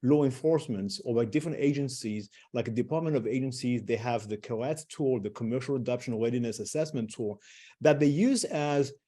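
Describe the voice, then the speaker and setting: A man's voice: medium pitch, a little fast, dark tone. A middle-aged man presenting a webinar, talking loosely with many fillers and restarts.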